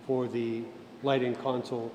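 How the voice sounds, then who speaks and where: A man giving an informal hands-on technical tutorial as an instructor, speaking deliberately and methodically with pauses mid-sentence. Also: medium pitch, flat delivery, crowd noise. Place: indoor set room by a theater stage. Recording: roomy echo with faint background noise.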